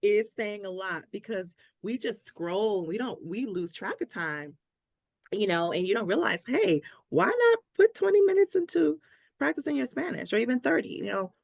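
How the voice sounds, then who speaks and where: A woman's voice: medium pitch, sing-song, normal speed, vocal fry, no room sound, clean studio sound. A woman speaking as a language coach in a conversational pep talk, encouraging and emphatic, repeating key phrases for stress. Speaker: Spanish language coach, interview guest.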